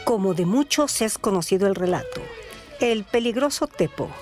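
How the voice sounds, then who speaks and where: A woman's voice: medium pitch, animated, moderate pace, a little mellow. Mexican woman narrating radio drama over music.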